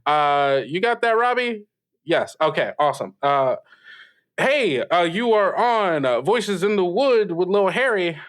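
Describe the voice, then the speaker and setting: A man's voice: high voice, expressive, measured pace, a bit bright. A man playing a young, kindly late-night radio host who talks in a hesitant, stammering, self-effacing way with nervous giggles. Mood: amused, awkward. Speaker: radio call-in show host. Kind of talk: improvised call-in radio acting, casual.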